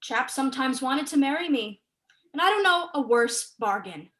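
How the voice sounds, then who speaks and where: A young woman playing a sharp-tongued, guarded writer in a staged play reading, delivered in a dry, exasperated, cutting way. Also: high voice, animated, moderate pace. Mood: scornful, exasperated. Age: young adult. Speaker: actor in a student staged reading.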